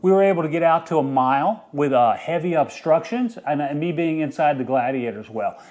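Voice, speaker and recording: A man's voice: on the high side, expressive, brisk, a little mellow. A middle-aged man hosting a casual outdoor gear review in an informal, enthusiastic way. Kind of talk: casual product review vlog.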